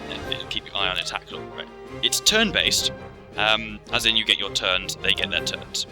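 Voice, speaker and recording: A man's voice: fairly low pitch, moderate pace. A man giving a casual, rambling gameplay explanation as he plays. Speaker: game commentator. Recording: game music behind voice. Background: video game music.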